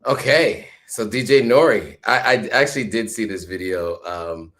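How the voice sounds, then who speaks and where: A man's voice: fairly low pitch, normal speed, fairly bright tone. A man hosting a video call chat, friendly and hesitant, with many fillers. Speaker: host.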